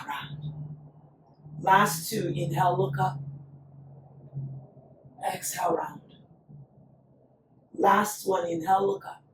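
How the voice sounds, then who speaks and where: A woman teaching a yoga class, calmly cueing breath and poses, her voice still recovering after being lost. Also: mid-range voice, slight background noise. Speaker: yoga instructor. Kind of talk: guided yoga class instruction. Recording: echoey room, voice from a distance.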